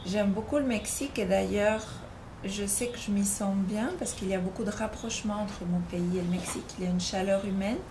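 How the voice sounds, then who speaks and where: Gravelly-voiced woman from Algeria. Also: mid-range voice, steady tone, fairly quick. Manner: explains at length, listing examples. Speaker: interviewee. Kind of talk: conversational interview answers. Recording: noisy background ambience.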